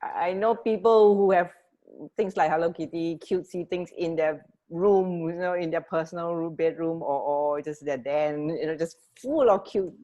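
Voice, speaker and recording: A woman co-hosting a casual radio chat, speaking in a halting way and repeating words as she searches for phrasing. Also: on the low side, warm tone, lo-fi sound. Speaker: radio co-host.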